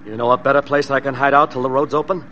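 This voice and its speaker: An American actor playing a menacing, mocking fugitive killer giving orders in an old-time radio drama. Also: male voice, mid-range voice, steady tone, fast talker, bright, forceful tone, lo-fi sound, a little hiss or hum, lightly acted. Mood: curt, menacing.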